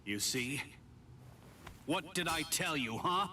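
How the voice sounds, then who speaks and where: Cocky anime game sword villain, slow dramatic taunts, sounds distant, music behind. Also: male voice, on the high side, animated, sharp tone, a little hiss or hum, heavily acted. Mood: smug. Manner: taunting, drawn-out theatrical delivery. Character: cocky, brash young male swordsman, menacing and hot-tempered. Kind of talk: English-dubbed anime game voice acting.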